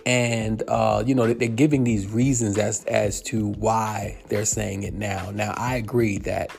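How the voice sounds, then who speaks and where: A man's voice: on the low side, steady tone, measured pace, gravelly, darkish tone, faint music behind. A man in his fifties from New York, hosting a solo sports podcast and talking in a rambling way with many fillers and restarts. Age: 50s. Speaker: podcast host. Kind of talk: solo sports commentary monologue.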